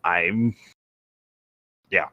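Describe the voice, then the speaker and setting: A man with a slightly low voice, reading aloud in an amateur style and chatting casually. He sounds somewhat hesitant and unassuming. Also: extremely slow, breathy edge, no room sound, phone-quality sound.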